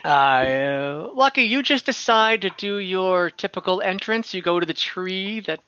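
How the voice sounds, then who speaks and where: A man runs a tabletop game as game master in a bright, slightly high voice. He improvises casually and haltingly, with some amusement. The sound is close and dry, a bit dull like video-call audio. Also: animated, clear, resonant tone, dry recording. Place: at home indoors on a group video call.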